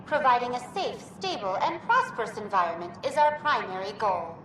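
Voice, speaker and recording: A female virtual assistant in a sci-fi game, high and very lilting. She is upbeat and formal, like a scripted customer-service greeter, in an echoey space with clear music behind her. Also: big pitch swings, brisk, far from the mic. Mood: upbeat, welcoming. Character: cheerful, helpful female virtual intelligence greeter program. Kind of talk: scripted automated welcome announcement.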